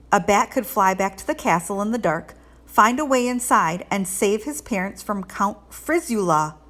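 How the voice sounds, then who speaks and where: A woman's voice: mid-range voice, fairly bright tone. Woman reading a children's storybook. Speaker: teacher reading a storybook aloud. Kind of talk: expressive children's read-aloud narration.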